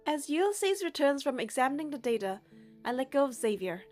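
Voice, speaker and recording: Young female playthrough narrator, high register, scripted story reading with faint music behind. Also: big pitch swings, a little fast, a little mellow. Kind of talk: scripted narration and dialogue read aloud.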